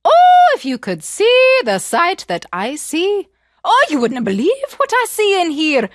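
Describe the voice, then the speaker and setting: A woman's voice: lowish voice, wildly swooping pitch, brisk, sharp tone, no echo, studio quality, pitched below the performer's own voice, heavily acted. A woman voicing a clever, bold young heroine who calls out in a teasing sing-song. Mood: teasing sly.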